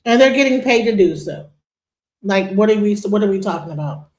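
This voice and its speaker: A woman's voice: mid-range voice, animated, a little fast, darkish tone. Incredulous woman in an echoey room. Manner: incredulous, rhetorical questions. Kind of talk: opinionated video commentary.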